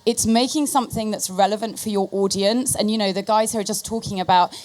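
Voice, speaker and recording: Woman marketing exec answering on a panel, mid pitch, explaining stuff conversationally. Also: steady tone, fast talker, darkish tone.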